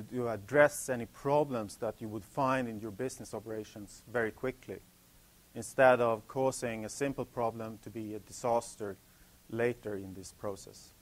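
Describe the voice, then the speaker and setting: A man from Sweden with a Swedish accent, English not his first language, giving a technical conference presentation in a halting way with many restarts. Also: medium pitch. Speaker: conference speaker. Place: conference hall.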